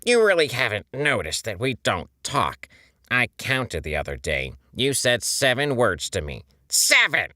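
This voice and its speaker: A man's voice: medium pitch, very animated, unhurried, sharp tone, smooth, no room sound, clean studio sound, pitched above the performer's own voice. Guy doing a grumpy scolding squid dude, indignant and fed up, storybook reading. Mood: exasperated. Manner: scolding, exasperated. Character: irritable adult male squid, exasperated and indignant.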